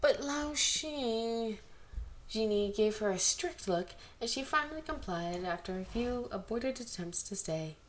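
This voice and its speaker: A woman narrating fan fiction aloud in an expressive, amateur storytelling style. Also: medium pitch, normal speed, few pauses. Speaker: amateur podfic reader. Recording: amateur home recording, background noise.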